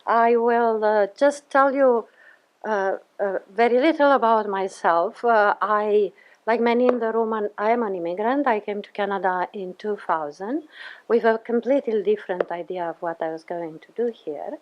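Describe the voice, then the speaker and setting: A woman's voice: medium pitch, normal speed, highs cut off. A woman, a theater scholar presenting a paper in a careful, formal way with frequent hesitations. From Romania. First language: Romanian. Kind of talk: conference talk.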